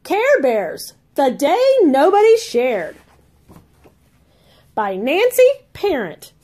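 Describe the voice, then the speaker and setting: A woman reading a children's story aloud as a read-along host, expressive and sing-song, with careful enunciation. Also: sits high, slow.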